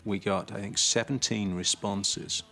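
A man telling the story of his band in a documentary interview, in a measured way. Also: fairly low pitch, fairly even intonation, vocal fry, pushed and bright. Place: dark studio set.

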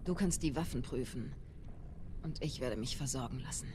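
A woman's voice: a bass voice, normal speed, background noise. An actress playing a wounded middle-aged female rebel leader in a video game, firm and authoritative. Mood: resolute, reassuring. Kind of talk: dramatic video game acting, German dub.

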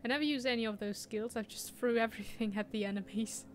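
A young woman with a German accent chats through a video game stream, laughing often and reading out viewer questions. Her voice sits on the higher side, with a faint hiss under it. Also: fast. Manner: chatty, laughs often, reads chat aloud. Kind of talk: casual gameplay commentary.

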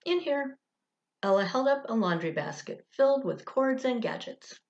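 A woman reading a children's story aloud in an expressive, deliberate storytelling manner. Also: medium pitch, vocal fry, dull audio. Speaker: director of religious education, storyteller.

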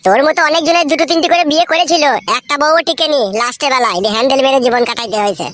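Man doing a squeaky, fast-scolding cartoon buddy. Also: fairly bright tone. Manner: foul-mouthed, hectoring. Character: scolding, exasperated young male friend. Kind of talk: crude comedy cartoon dialogue.

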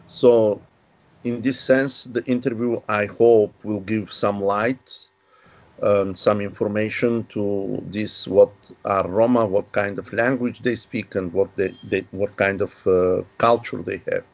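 Unhurried older man on a video call. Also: fairly low pitch, steady tone. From Bulgaria. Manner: measured, unhurried, with pauses and fillers. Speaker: guest, linguistics professor. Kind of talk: explanatory interview answers. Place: home study with bookshelves.

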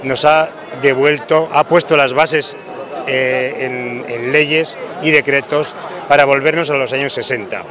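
A man's voice: mid-range voice, fairly even intonation, measured pace. A man at a street protest speaking in an interview, hesitant and restarting his sentences. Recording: crowd noise behind.

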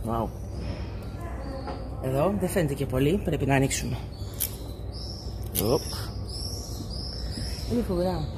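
A woman's voice: a bass voice, lively intonation, long pauses. A woman filming a casual family room tour, commenting in short remarks and exclamations. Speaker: mother filming a family travel vlog. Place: small stone-walled holiday apartment. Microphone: behind a handheld camera. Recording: faint background noise.

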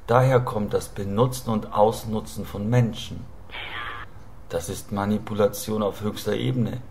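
Tiefe Männerstimme als Erzähler. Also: male voice, on the low side, fairly even intonation, a little slow, a little hiss or hum. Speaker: narrator. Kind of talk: scripted narration, dramatic monologue and storytelling. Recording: loud music over the voice.

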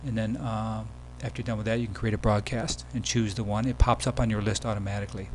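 Low-key man narrating, faint hum behind. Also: flat delivery, fast talker. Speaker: software demo presenter. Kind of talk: casual screencast tutorial narration. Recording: background hum and noise.

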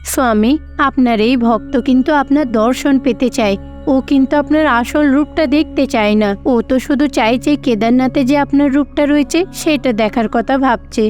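Woman as gentle goddess, high voice, motherly, pleading, respectful, cartoon devotional tale, light music. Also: normal speed, highs cut off, close to an everyday voice. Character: gentle, motherly goddess Parvati, compassionate consort.

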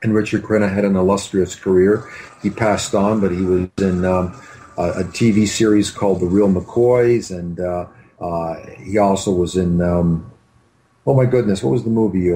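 A man's voice: low-pitched, moderate pace, warm tone. A man, a film director reminiscing in an interview in a hesitant, rambling way over a video call. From Canada. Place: home room with bookshelves. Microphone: computer mic on video call.

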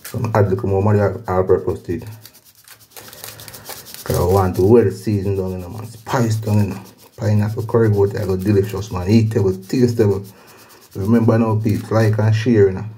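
A man's voice: fairly low pitch, level tone, a little fast, dark tone. A man with a Jamaican accent casually narrating his cooking as host, often repeating phrases and tags. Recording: clear background noise, pot sizzling and clatter.

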